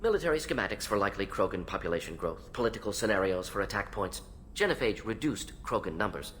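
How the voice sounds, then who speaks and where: An actor playing an eccentric alien scientist in a video game, analytical, speaking in clipped rapid-fire fragments. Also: male voice, fairly low pitch, big pitch swings, a bit bright, slight background noise. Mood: clinical, brisk. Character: fast-talking alien salarian scientist, brisk, analytical, eccentric. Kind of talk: video game character acting.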